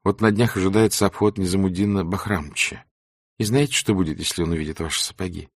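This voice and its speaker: Man as shy female doctor, audiobook character, soft pleading reproach, low-pitched, dry close sound. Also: fast talker, no room sound. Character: gentle, shy female doctor, around thirty, mildly reproachful.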